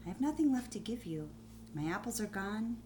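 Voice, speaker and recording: A woman voicing a gentle, loving female tree in a children's storybook, with a tender, giving manner. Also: mid-range voice, sing-song, fairly quick, a little hiss or hum, voice pushed up, lightly acted. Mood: sad, sorry.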